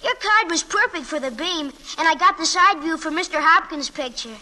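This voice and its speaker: A woman's voice: very high-pitched, animated, moderate pace, bright, forceful tone, heavily acted. A woman playing a shy, eager schoolboy in an old-time radio drama, speaking in a hesitant, earnest, boyish way. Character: shy, eager schoolboy model-boat maker.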